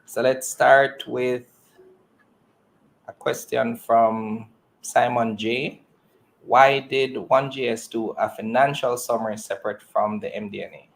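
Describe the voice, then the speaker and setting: Male, mid-range pitch, moderator, conversational business call hosting. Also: steady tone, slow, vocal fry, rough recording. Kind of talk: earnings call question hosting.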